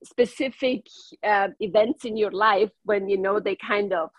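A woman's voice: mid-range voice, unhurried, no room sound, highs cut off. A woman from northern Italy, a native German speaker with a German accent, talking casually as a guest with many ums and hesitations. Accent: German-speaking northern Italian. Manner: hesitant, many ums, laughs between lines. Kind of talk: casual interview.

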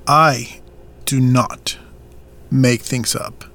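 An actor playing a firm man who co-runs a family farm, confronting someone in a measured, serious way in an audio drama. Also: mid-range voice, fairly even intonation, creaky, a bit bright, full character voice.

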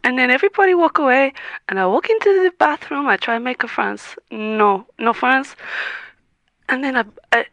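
A woman playing a lonely young French au pair calling in, speaking halting broken English with a French accent. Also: high voice, lively intonation, a bit bright, no echo, highs cut off. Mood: plaintive. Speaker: caller. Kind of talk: improvised comedy call-in.

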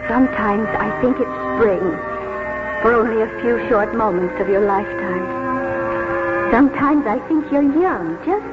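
Actress as an earnest, dreamy young schoolteacher, tinny old radio. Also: female voice, on the high side, animated, normal speed, natural voice. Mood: wistful. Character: eager, romantic, idealistic young woman schoolteacher. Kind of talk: 1940s radio drama acting. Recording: tinny 1940s radio recording with music.

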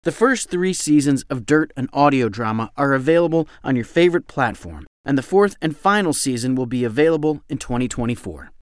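A man's voice: medium pitch, sing-song, brisk, few pauses, no echo, studio quality. A man hosting a podcast, reading a scripted recommendation in a conversational way that addresses the listener directly. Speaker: podcast host.

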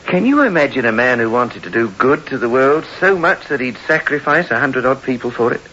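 An actor playing a shrewd police inspector, courteous but insistent and probing, in a sixties radio drama. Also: male voice, medium pitch, lively intonation, fairly quick, bright, forceful tone. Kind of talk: 1960s radio drama acting. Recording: dull, hissy old radio recording.

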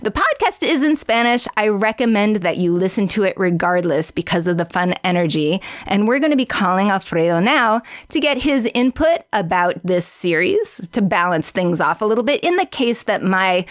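A woman's voice: medium pitch, expressive, moderate pace, no echo, clean studio sound. A woman co-hosting a podcast, playful and chatty as she interviews a guest. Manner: playful, chatty, laughs often. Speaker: podcast co-host. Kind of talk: casual interview chat.

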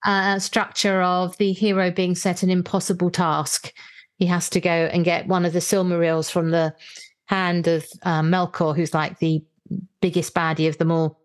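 Lowish-voiced woman, playful host. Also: flat delivery, moderate pace. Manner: playful, enthusiastic. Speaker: podcast host and interviewer. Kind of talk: chatty book discussion. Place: remote call.

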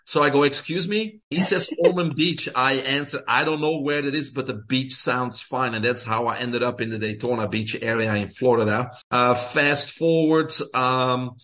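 A man's voice: medium pitch, moderate pace, hardly any pauses. Middle-aged Belgian-accented man telling stories. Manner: relaxed storytelling with fillers. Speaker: guest, health coach. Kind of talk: casual interview.

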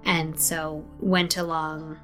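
A woman's voice: deep voice, level tone, a bit bright, soft background music, poor audio. A woman telling her character's backstory as a tabletop game player, hesitant with many fillers. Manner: unhurried, hesitant, many ums.

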